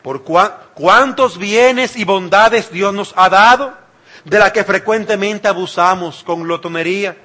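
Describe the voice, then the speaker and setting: A man's voice: high-pitched, unhurried, sharp tone. A man from the Dominican Republic, speaking with a Dominican Spanish accent, preaching an emphatic sermon and repeating phrases for effect.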